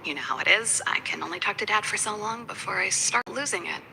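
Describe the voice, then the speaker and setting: A breezy, creaky-voiced young engineer. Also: female voice, medium pitch, lively intonation, fast, bright, forceful tone, full character voice, low-quality recording. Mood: wry. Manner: casual, laid-back, breezy. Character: casual, breezy female ex-engineer, an officer's sister. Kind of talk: video game voice acting. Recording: clear background noise.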